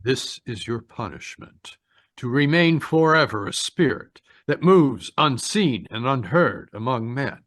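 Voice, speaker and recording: An actor in a radio mystery playing a stern spirit guide of the dead, speaking gravely with measured command. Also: medium pitch, animated, fairly bright tone, no room sound. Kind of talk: old-time radio mystery acting.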